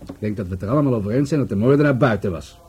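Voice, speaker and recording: An older police commissioner in a radio drama, sceptical and used to command. His voice is dark and husky, and he questions others in a deliberate, authoritative way. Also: on the low side. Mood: measured, sceptical. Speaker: actor in a radio play. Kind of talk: Dutch radio play acting.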